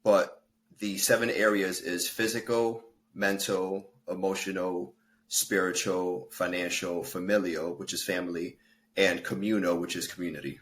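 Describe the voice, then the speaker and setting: A man's voice: low-pitched, flat delivery, a little slow, a bit bright, slight background noise. A man in his thirties, born in Peru and raised in the States, telling his story earnestly in a casual podcast interview, with fillers and self-corrections. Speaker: guest, fitness and life coach.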